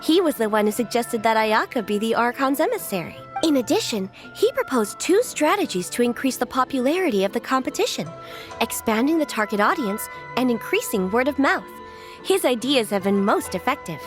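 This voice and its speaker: A woman's voice: a little high, very animated, fairly quick. A woman playing a courteous young lady of good family in a video game, speaking formally. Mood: informative. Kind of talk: animated game voice acting. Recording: music playing behind the voice.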